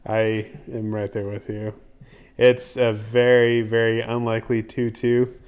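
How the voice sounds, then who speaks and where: A man guesting on a podcast, chatting in a relaxed, agreeable way while watching a fight. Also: mid-range voice, unhurried, smooth. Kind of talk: casual fight-watch chat.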